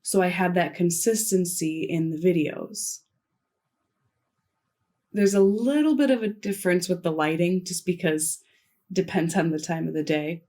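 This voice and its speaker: A woman interprets a signed keynote into spoken English, her voice sitting slightly low. She works phrase by phrase, measured and steady, pausing as she follows the signer. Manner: measured, delivered in short phrases with pauses. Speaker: sign language interpreter voicing a keynote. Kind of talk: simultaneous interpretation of a conference talk.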